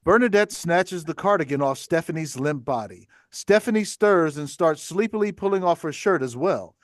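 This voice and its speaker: Higher-voiced man reading stage directions. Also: lively intonation, normal speed, no room sound, studio quality. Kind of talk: scripted narration at a table read.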